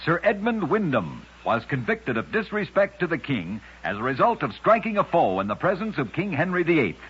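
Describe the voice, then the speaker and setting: A man hosting an old-time radio program, narrating scripted strange tales in a dramatic, deliberate storyteller's delivery. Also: fairly high pitch, wildly swooping pitch, a bit bright, husky. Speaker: radio host and narrator of oddities. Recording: dull lo-fi vintage radio recording.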